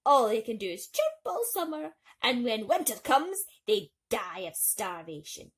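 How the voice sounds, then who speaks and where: A woman's voice: fairly high pitch, sing-song, unhurried, breathy edge, heavily acted. A woman voicing a pompous, scornful elderly male mole, blustery and dismissive, in a storybook reading.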